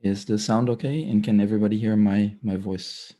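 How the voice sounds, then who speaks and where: Low, mellow, raspy-voiced man presenting. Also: steady tone, fast talker. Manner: hesitant, many fillers and restarts; clears throat often. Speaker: guest speaker. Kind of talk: tech meetup talk over video call.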